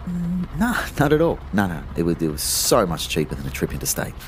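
A man's voice: deep voice, wildly swooping pitch, brisk, a little hiss or hum. A man playing an easygoing, joking young boyfriend in an audio drama. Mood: eager, evasive.

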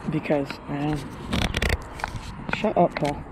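A man's voice: fairly high pitch, slow pace. A man fishing outdoors under a bridge, chatting offhand with a friend, recorded on a head-mounted action camera. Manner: relaxed offhand banter with a friend. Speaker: angler filming his fishing trip. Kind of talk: casual chat. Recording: noisy outdoor action-cam audio.

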